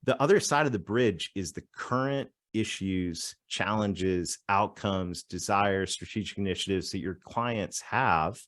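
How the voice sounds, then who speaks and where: A Canadian man with a fairly low voice, recorded dry and close on a podcast mic. He chats as an interview guest in an upbeat, storytelling way. He breaks into laughter often as he talks. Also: a little slow, few pauses, fairly bright tone, no room sound. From southwestern Ontario, Canada. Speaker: guest, growth strategist.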